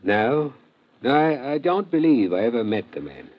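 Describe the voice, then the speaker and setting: Nervous formal shop owner, husky, voice goes up n down a lot, halting, muffled old radio. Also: male voice, medium pitch, big pitch swings. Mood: hesitant, flustered. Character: flustered, overly earnest male curio shop owner. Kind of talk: old-time radio drama acting. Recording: muffled classic radio recording.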